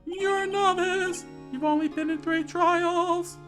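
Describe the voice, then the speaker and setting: A man's voice: very high voice, steady tone, drawn-out words, fairly bright tone, faint music behind, pitched above the performer's own voice, full character voice. A man voicing a proud, melodramatic and bitter male attorney from a video game, in a pompous, theatrical manner. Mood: bitter, scornful. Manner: pompous, theatrical, strained outbursts. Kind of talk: video game character read-aloud.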